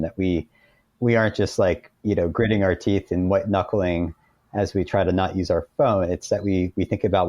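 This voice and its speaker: A man with an American accent and a low, mellow voice talks as a guest in an interview. He explains practical ideas conversationally, thinking as he goes and often restarting his phrases. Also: dull audio.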